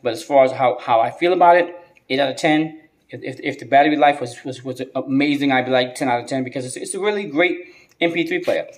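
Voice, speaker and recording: A man's voice: mid-range voice, fast. A man hosting a casual gadget review vlog, speaking in slangy phrases and often repeating his words. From United States. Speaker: gadget reviewer and video host.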